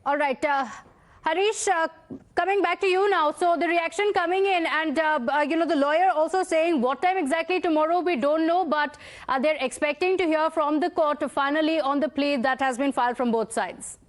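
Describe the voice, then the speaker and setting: High-pitched Indian female news anchor. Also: normal speed. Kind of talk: live news anchoring, questioning correspondents.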